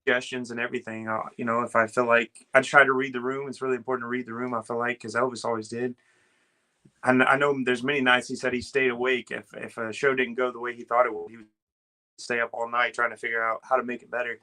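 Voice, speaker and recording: Smooth, bright-voiced man chatting earnestly. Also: medium pitch, level tone, fast. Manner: earnest and easygoing, with many fillers like "uh" and "you know". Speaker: guest, Elvis tribute artist. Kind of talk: casual interview chat. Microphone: video call.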